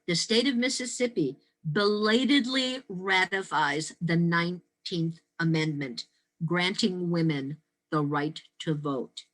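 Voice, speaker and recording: An American woman in her sixties giving a prepared talk as a storyteller, with deliberate, emphatic phrasing and dramatic pauses. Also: low voice, a bit bright, poor audio. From Detroit, Michigan, United States. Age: 60s. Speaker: storyteller and speaker. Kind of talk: prepared historical talk with personal stories.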